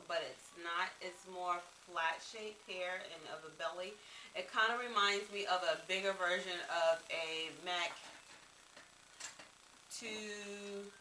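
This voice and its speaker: A woman with a bright, crisp-edged voice talks through her makeup brushes casually, rambling with ums and restarts. She sounds a little congested from a cold. She is recorded by a camera mic some way off, in a room with a lot of echo and a faint hum of background noise. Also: fairly low pitch, far from the mic. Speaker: beauty vlogger. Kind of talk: casual product review.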